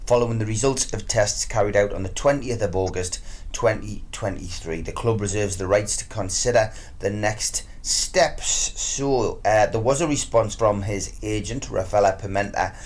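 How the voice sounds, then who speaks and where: A man's voice: lowish voice, normal speed. A man on sports radio giving football news reports mixed with informal comment.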